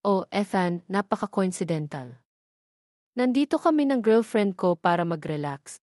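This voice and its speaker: A woman's voice: mid-range voice, sing-song, unhurried, darkish tone, no echo. A protective, slightly cocky young Filipino man from a romance audio drama, read by a woman. The lines are scripted dialogue in a dry, close recording. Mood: boastful.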